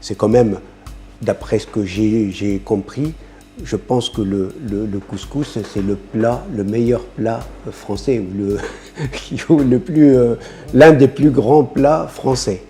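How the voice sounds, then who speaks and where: A man's voice: mid-range voice, steady tone, takes it slowly, warm tone, soft background music. A man talking casually in an interview, hesitant and full of fillers, chuckling between his points.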